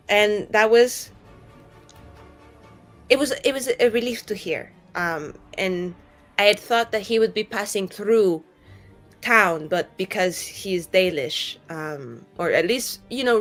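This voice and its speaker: A woman's voice: mid-range voice, a bit bright. A woman playing an irreverent elf mage held captive in a tower, rambling in casual, sweary modern slang for a tabletop roleplaying game. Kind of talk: improvised in-character roleplay, casual modern speech.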